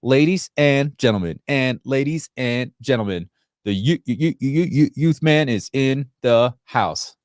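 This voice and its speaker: A man's voice: medium pitch, expressive, unhurried, dry recording, studio quality. A man born in Sri Lanka, hosting a casual tech livestream and reading viewer comments, rambling with lots of fillers. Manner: chatty, rambling, many fillers and restarts.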